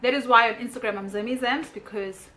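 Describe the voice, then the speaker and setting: Bright-voiced South African woman vlogger. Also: on the high side, fast. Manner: chatty, reads out questions then answers them. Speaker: YouTuber. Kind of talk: casual get-to-know-me vlog.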